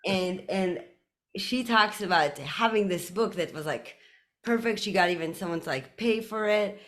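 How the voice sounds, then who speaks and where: A woman guest on a podcast, telling stories in a casual, animated way with many fillers. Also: medium pitch, normal speed. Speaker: podcast guest, community CEO. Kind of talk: casual conversational interview.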